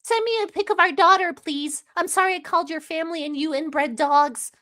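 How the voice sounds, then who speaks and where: A woman's voice: very high-pitched, a little fast, pushed and bright, voice pushed up. A woman putting on a mocking voice of a whiny young wife pleading with her husband.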